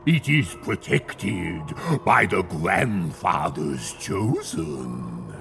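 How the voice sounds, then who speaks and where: A contemptuous plague cultist from a fantasy game. His lowish voice is gravelly and ominous, rising and falling theatrically over faint music. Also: lively intonation, very slow, a bit bright, heavily acted. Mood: scornful warning. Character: scornful plague cultist guarding a fortress gate. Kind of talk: theatrical video game villain acting.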